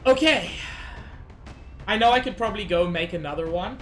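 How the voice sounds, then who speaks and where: A woman's voice: medium pitch, expressive, normal speed. A slightly sick woman streaming a game, chatting anxiously with her viewers in casual commentary. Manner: chatty, anxious, talks to chat. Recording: faint game music behind.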